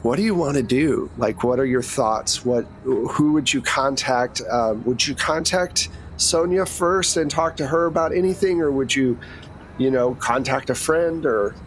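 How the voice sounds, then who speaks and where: A man's voice: mid-range voice, a little slow. Casual male game master, some background noise. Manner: casual, conversational. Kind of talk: improvised tabletop game narration.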